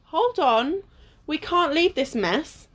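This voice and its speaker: A woman's voice: high voice, lively intonation, slow. A woman acting a wary, bossy, teasing young adventurer in an amateur radio play. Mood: curious.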